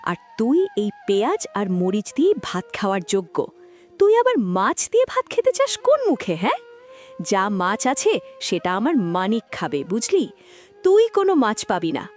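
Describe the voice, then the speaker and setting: A woman voicing a cruel, scheming village stepmother in a cartoon story, scolding and sharp-tongued. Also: fairly high pitch, huge pitch swings, normal speed. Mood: scornful, scolding. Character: cruel scheming village stepmother favouring her own son. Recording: music behind the voice.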